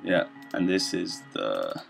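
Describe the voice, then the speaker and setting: Low-voiced young man, hesitant presenter. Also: very animated, gravelly, over loud music. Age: young adult. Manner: hesitant, halting, loosely explanatory. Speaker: student presenter. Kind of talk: informal software demonstration.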